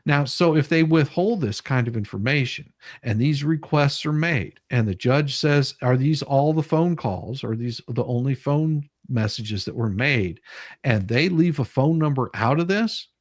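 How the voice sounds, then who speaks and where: A man's voice: mid-range voice, expressive, unhurried, no echo, studio quality. A man hosting a casual live stream, explaining legal paperwork in an emphatic, sweary way. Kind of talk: casual commentary on a legal document.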